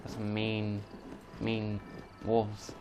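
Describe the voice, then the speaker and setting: Guy doing jokey lets play asides, kinda low voice, bit of background noise. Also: completely flat delivery, drawn-out words. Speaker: gaming video host. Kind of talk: casual off-the-cuff game commentary.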